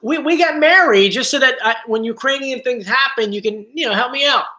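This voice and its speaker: A man's voice: very high-pitched, a little fast, pushed and bright. A man hosting a casual live stream from home, chatty and joking, easily drifting off topic. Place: home, bedroom area. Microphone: computer mic.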